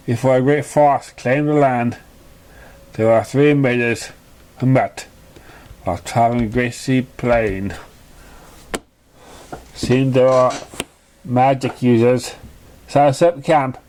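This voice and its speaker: Male storybook narrator, slow pace, mid-low everyday pitch, slightly halting read. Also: darkish tone. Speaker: narrator of a fan fiction story.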